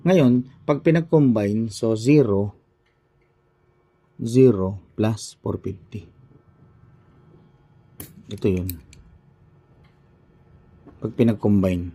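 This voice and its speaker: A Filipino man with a soft-edged, very mellow and husky voice gives an informal lesson. His pitch sits a little low, and he thinks aloud step by step, often repeating key points. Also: drawn-out words, long pauses. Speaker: accounting teacher. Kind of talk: informal recorded lesson walking through a worked example.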